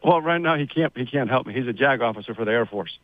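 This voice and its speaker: A man's voice: medium pitch, expressive, fast, dry recording, narrow, tinny audio. A man in his sixties, born in Cuba, telling his family story as a radio guest in a rambling, chatty way, with many fillers. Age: 60s. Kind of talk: casual interview storytelling.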